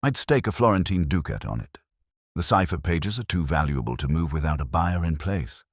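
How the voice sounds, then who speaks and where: A man voicing a shrewd, controlled Victorian detective in a storybook reading, speaking in a dry, measured way. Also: deep voice, animated, dry recording. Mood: confident, wary.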